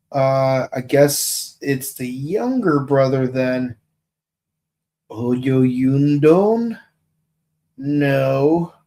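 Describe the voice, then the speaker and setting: A man co-hosting a podcast, chatting casually and joking as he rambles and thinks out loud. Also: fairly high pitch. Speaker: podcast co-host. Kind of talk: casual chat about a TV series.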